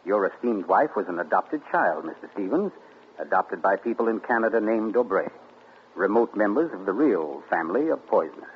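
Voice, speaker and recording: An actor playing a suave, gloating male amateur sleuth in 1940s radio acting, theatrical and deliberate. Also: lowish voice, sing-song, muffled recording. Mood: smug. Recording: scratchy 1940s radio broadcast.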